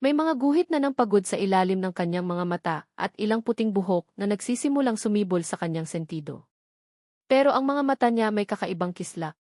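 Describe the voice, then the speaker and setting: A woman's voice: medium pitch, animated, brisk, a little mellow, dry recording. Woman narrating drama, dry close sound. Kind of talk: dramatic scripted story narration.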